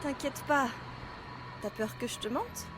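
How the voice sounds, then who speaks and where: Une femme jouant une lycéenne fantôme douce. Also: female voice, high-pitched, sing-song, measured pace, background noise. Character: gentle mysterious schoolgirl ghost. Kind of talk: French audio drama.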